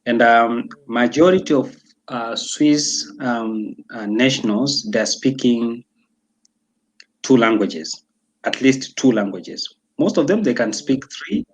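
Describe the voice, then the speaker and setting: A young man from Tanzania talking casually as an interview guest, pausing often with fillers and restarts. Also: mid-range voice, lively intonation, rough recording. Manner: hesitant, many fillers and restarts.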